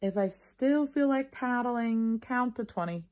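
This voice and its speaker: A bossy little tattletale girl from a storybook, played by a woman reader. The voice jumps up high, grumpy and indignant, and leans hard on the words. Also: animated, measured pace, a little mellow. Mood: grumpy reciting.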